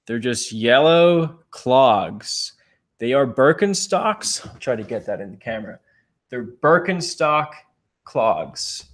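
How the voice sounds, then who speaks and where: A man's voice: mid-range voice, lively intonation, takes it slowly. A man in his thirties co-hosting a casual livestream from a living room couch, talking upbeat while showing items to the camera. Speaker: reseller livestream co-host. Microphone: fixed camera across the room.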